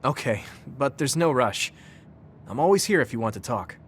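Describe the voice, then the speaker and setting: An actor playing an earnest, caring young soldier in a video game, sincere and encouraging. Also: male voice, medium pitch, very animated, moderate pace, a little hiss or hum, close to an everyday voice. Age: young adult. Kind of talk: English video game voice acting.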